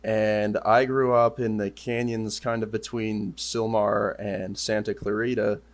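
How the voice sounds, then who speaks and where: A man in his twenties from Southern California, a podcast guest speaking in a dry, unhurried way. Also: on the low side, level tone. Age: 20s. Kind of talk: casual conversation.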